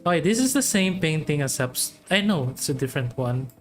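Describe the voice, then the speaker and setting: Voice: medium pitch, animated, a little fast. A game streamer giving casual commentary in short, informal, musing remarks. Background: faint game music.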